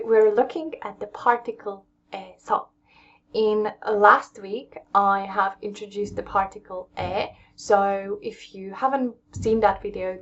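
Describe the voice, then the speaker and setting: Young English woman, gravelly, lo-fi. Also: mid-range voice. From England. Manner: patient, explains step by step and checks in with "okay?". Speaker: Korean language teacher. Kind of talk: casual explanatory lesson.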